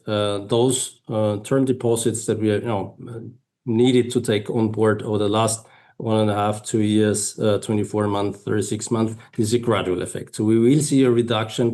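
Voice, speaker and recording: A man speaking as a finance executive on an earnings call, explaining figures in a measured, halting way. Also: lowish voice, darkish tone. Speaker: finance executive presenting company results. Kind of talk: earnings call presentation and answers.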